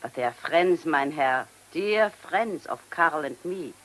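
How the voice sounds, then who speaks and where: An actress playing a poised, guarded Viennese restaurant hostess in a radio play, with a German accent and formal delivery. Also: female voice, low-pitched, expressive, slow pace, slight background noise, low-quality recording. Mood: composed. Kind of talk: radio drama acting.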